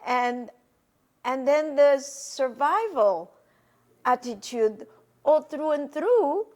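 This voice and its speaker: Slow, reflective older woman, Polish native. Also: high voice, lively intonation, darkish tone. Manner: slow, hesitant, reflective, many pauses and fillers. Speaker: interviewee, testimony witness. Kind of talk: recorded oral history testimony.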